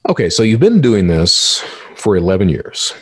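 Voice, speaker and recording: A man's voice: lowish voice, sing-song, moderate pace, slightly rough, dry recording, clean studio sound. A man hosting a podcast, asking questions in a casual, informal and earnest way. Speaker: podcast host and interviewer. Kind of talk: casual conversational interview.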